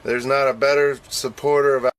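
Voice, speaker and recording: A man's voice: medium pitch, level tone, normal speed, heavy background noise. A man chatting casually on a radio show, rambling and making self-deprecating admissions. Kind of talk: casual on-air chat.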